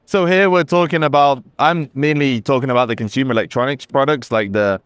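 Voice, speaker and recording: Man, company rep, upbeat casual demo, clear resonant voice, dry close sound. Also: medium pitch, a little fast, fairly bright tone, no room sound. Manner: enthusiastic, conversational, with fillers. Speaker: company representative demoing a camera. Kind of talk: casual product demo on a trade show floor. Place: busy trade show floor.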